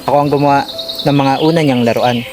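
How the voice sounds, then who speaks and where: An elderly grandfather from the countryside, acted by a man, talks in a radio drama. He reminisces fondly and takes his time, with a middle-pitched male voice. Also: steady tone, quick pace, music in the background, close to an everyday voice. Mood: fond, reflective. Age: older. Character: old Filipino grandfather on a farm, fondly reminiscing.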